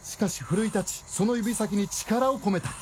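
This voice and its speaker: A man's voice: sits high, moderate pace, noisy background, lightly acted. A man playing a theatrical storyteller who narrates a macabre radio drama in suspenseful, clipped phrases. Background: loud music.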